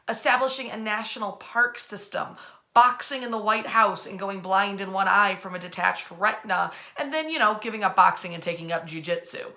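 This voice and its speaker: A woman hosting an informal history storytime from her bed at home, chatty and animated, using colloquial phrasing as she speaks to an online audience. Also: medium pitch. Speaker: host of a nightly history storytime.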